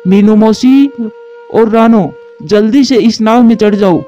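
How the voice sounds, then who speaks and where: A man's voice: high-pitched, a little slow, warm tone, highs cut off, faint music behind. A man voicing a helpful, dutiful crow in a children's cartoon fable, speaking earnestly and emphatically. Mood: urgent, heroic. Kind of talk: Hindi cartoon voice acting.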